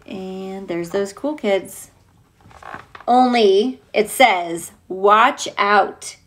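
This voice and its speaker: Female teacher, mid-pitched and unhurried, playful and chatty, casual children's storytime read-aloud. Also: fairly bright tone, slight background noise. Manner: playful, chatty, asks listeners questions. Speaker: teacher reading a picture book aloud.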